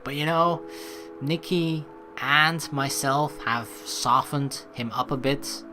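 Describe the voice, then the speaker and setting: A chatty, sweary woman doing casual, expressive let's play commentary and reading narration aloud. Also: sits low, takes it slowly, over music. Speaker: let's player.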